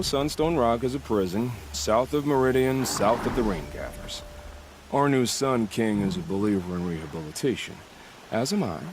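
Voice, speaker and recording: An actor playing a calm, menacing ex-soldier who relishes killing, speaking softly and philosophically in a video game. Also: male voice, mid-range voice, background noise, close to an everyday voice. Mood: smug, composed. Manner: soft-spoken, measured, philosophical. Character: calm, menacing male bandit-killer, ex-soldier with a taste for killing.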